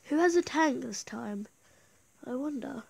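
High voiced young-sounding female gamer w a cold, chatting casually while playing, kinda excitable. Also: unhurried, vocal fry. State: has a bad cold. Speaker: gaming YouTuber. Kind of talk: casual gameplay commentary.

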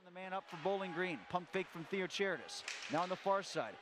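A man calling a live hockey game play by play, quick calls that jump up on every goal. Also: high voice, crowd noise. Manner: rapid calls that rise sharply on goals. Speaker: play-by-play announcer.

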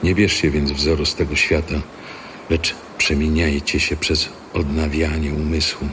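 A man's voice: a bass voice, level tone, measured pace. An actor playing an earnest male apostle exhorting fellow believers in a dramatic scripture reading. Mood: earnest exhortation. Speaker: actor in an audio Bible. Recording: clear background ambient noise.

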